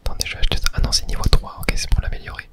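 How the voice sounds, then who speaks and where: A man's voice: rapid-fire, fairly bright tone. Whispering man, gaming ASMR. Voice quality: breathy unvoiced whisper. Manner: casual, murmured reactions to gameplay. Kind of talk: whispered ASMR video game commentary.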